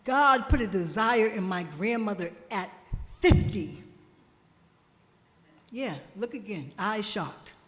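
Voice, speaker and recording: A woman preaching a sermon at a church service, slow and emphatic, drawing responses from the congregation, her family from Jamaica. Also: mid-range voice, expressive, a bit bright, slight background noise. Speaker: guest speaker at a church service. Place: church sanctuary. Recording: church hall reverb.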